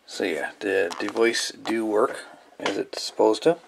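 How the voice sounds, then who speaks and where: A man's voice: fairly low pitch, fairly even intonation, measured pace, creaky, heavy background noise. A man hosting a casual hands-on electronics demo, rambling and thinking aloud as he goes. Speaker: hobbyist video host.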